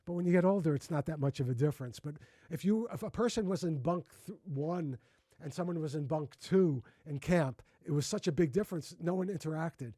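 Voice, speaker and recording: Warm-voiced man in his sixties hosting. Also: on the high side, a little fast, no room sound. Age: 60s. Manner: effusive and reminiscing, with frequent restarts. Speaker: host. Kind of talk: casual interview conversation.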